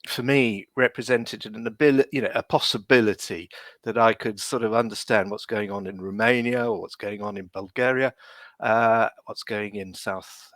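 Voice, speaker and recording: A man's voice: fairly low pitch, fairly even intonation, moderate pace, fairly bright tone, dry recording. A man, a lawyer and podcast guest, speaking on a video call from London in a reflective, hesitant way with many fillers. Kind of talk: reflective interview answers.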